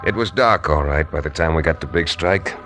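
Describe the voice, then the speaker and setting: An actor playing an easygoing frontier lawyer who narrates a 1950s radio western. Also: male voice, rumbling low, brisk, over loud music. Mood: laid-back. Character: easygoing frontier lawyer, man, dry wit. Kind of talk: 1950s radio drama acting. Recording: old radio broadcast with crowd noise.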